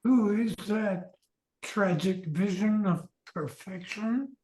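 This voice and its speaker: Very slow, swooning vain youth, played by a woman. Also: mid-range voice, dark tone, echoey room, close to an everyday voice, low-quality recording. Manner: melodramatic, swooning. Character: vain, lovesick, self-adoring beautiful young man. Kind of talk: amateur radio comedy acting.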